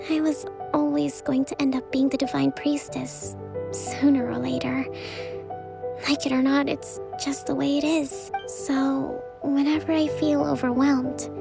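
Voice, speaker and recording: A woman voicing a gentle, weary young priestess who softly confides her self-doubt in a measured, earnest way for a video game. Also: high voice, creaky. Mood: resigned, composed.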